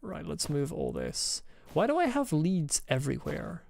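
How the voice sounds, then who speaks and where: A man's voice: on the high side, sing-song, normal speed, creaky, darkish tone. A man from Scotland with a mild Scottish accent, chatting loosely on a game stream and answering chat messages with rambling asides and chuckles. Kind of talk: casual live-stream chat while gaming.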